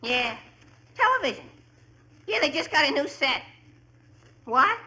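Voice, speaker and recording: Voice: high-pitched, sing-song, unhurried, pushed and bright, heavily acted. A performer playing a brash, oblivious, chatty American teenage boy in a 1940s radio sitcom. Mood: loud, oblivious. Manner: goofy, exclamatory.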